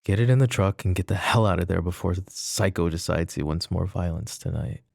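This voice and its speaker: Man playing a deep, gravelly, wry mafia boss. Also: steady tone, no echo, clean studio sound. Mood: urgent, businesslike. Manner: sardonic, soft-spoken, slow pauses, swearing and sighs. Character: flirty, possessive, wry male mafia boss. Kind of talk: intimate ASMR roleplay spoken to the listener.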